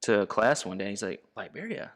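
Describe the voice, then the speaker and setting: A man's voice: lowish voice, big pitch swings, normal speed. Laughing male podcast co-host. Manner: laughs easily, warm affirmations. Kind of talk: casual faith podcast chat.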